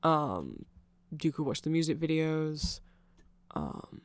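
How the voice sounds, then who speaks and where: Creaky nerdy vampire shopkeeper someone playing one, asmr roleplay, super dry close sound, rambles and says sorry, kinda hesitant. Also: mid-range voice, slow pace, no room sound. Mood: earnest, rambling. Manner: rambling, hesitant, excitable, apologetic.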